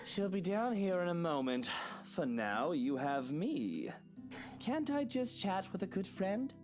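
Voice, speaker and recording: A smug, teasing husband, put on by a woman in a lowered voice. His pitch swings widely as he coaxes and jokes, playful and flirtatious. Soft game music plays faintly underneath. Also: very animated, unhurried. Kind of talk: game character voicing.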